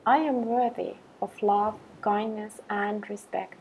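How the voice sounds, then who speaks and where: A woman leading a guided meditation with affirmations, speaking in a calm, gentle, measured way with long pauses. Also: medium pitch, slight background noise, poor audio.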